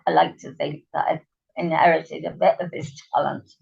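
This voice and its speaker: Croaky, low-voiced woman on a dull line. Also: fairly even intonation, moderate pace, darkish tone. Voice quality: gravelly. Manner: thoughtful, trails off with ums. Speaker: interview guest, writer and actress. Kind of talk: relaxed conversational interview. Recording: dull lo-fi remote audio.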